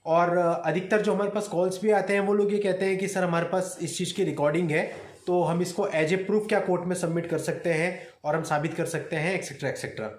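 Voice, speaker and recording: A man's voice: sits high, fairly even intonation, a little fast. A man giving an explanatory legal talk in a didactic way, often addressing his listeners directly as an advocate presenting to his audience. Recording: echoey, roomy sound.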